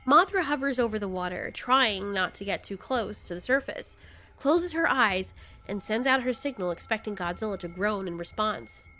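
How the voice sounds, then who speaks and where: A woman narrating a story as a storyteller, in an animated style. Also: medium pitch, moderate pace, faint music behind.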